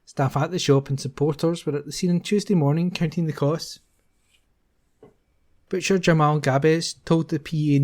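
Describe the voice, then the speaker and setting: A man reading newspaper articles aloud in a steady, careful way, now and then restarting a word. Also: a little high. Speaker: volunteer newspaper reader.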